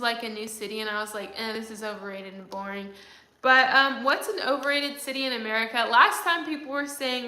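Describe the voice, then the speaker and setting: Young woman rambling on a phone livestream, bright voice, kinda echoey room. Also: on the high side. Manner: chatty, rambling, many fillers. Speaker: livestream host. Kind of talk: casual chat reading viewer comments.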